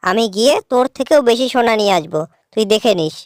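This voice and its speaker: A woman's voice: a little high, lively intonation, quick pace, a little mellow, no echo, studio quality. A woman voicing a rude, greedy, jealous elder daughter in a cartoon story, with a bossy, snippy manner. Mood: defiant.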